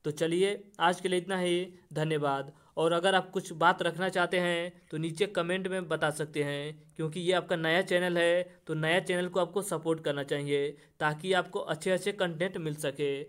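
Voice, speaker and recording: A man's voice: a little high, steady tone, moderate pace, few pauses, a bit bright. A man explaining things informally as an exam-coaching teacher and channel host, addressing viewers directly in repetitive, formulaic phrases.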